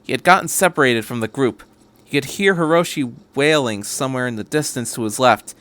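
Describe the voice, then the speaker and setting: A man's voice: medium pitch, brisk, fairly bright tone. A man reading a story aloud as a podcast host, in scripted narration. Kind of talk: scripted narration of fan fiction.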